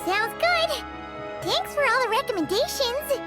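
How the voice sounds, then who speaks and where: A woman voicing a tiny, perky, childlike fairy companion in a video game, with a squeaky, bubbly, expressive voice. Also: measured pace, loud music behind the voice, exaggerated voice. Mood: curious, chatty. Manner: bubbly, expressive, refers to herself in third person. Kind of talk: video game character acting.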